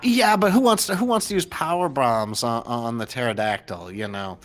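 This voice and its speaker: A man's voice: mid-range voice, normal speed, fairly bright tone. An American man commentating and interviewing on a live gaming race in a casual, chatty, jokey way. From United States. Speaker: host and commentator.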